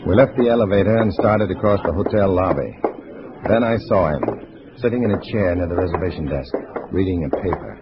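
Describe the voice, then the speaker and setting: Hard-boiled American male spy, played by an actor, very low register, clipped storytelling, dull vintage radio sound. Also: brisk, very noisy, lightly acted. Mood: tense narration. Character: tense undercover agent posing as a communist comrade. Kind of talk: 1950s radio drama acting and first-person narration. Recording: dull old radio recording with music.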